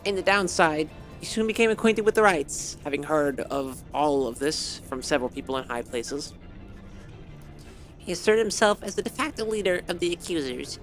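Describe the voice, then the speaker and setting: A slightly hoarse man streaming a game, reading its story text aloud and then chatting casually, rambling and correcting himself with many fillers. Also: fairly high pitch, expressive, normal speed, a bit bright, faint music behind.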